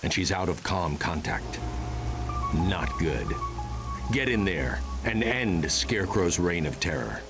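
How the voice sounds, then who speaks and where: An actor playing a stern masked vigilante giving terse, grave mission briefings in a video game. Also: male voice, low voice, animated, takes it slowly, full character voice. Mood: grave, commanding. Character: stern, commanding male masked vigilante hero. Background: music.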